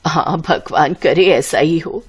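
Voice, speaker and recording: A woman's voice: deep voice, slow pace, breathy edge, voice pushed down, exaggerated voice. A woman voicing a worried, caring middle-aged village mother who gives concerned instructions in a storybook tale. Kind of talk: storybook character voice.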